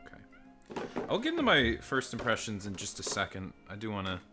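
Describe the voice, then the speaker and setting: A man's voice: wildly swooping pitch, moderate pace, pushed and bright, faint music behind. A man reviewing toys in a casual, rambling, self-deprecating way, swearing offhand. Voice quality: deep voice. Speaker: toy reviewer. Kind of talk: casual unboxing chat. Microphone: desk microphone and phone mic.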